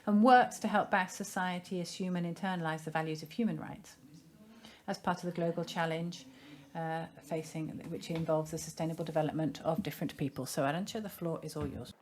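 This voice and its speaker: A woman giving a simultaneous interpretation of a seminar in a measured, formal way, pausing now and then to find her phrasing. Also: deep voice, fairly even intonation. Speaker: conference interpreter.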